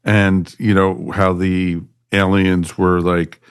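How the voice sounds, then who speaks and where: A man's voice: sits low, level tone, takes it slowly, clear, resonant tone, dry recording, clean studio sound. A man chatting casually and emphatically as a panelist on a review podcast, often repeating himself.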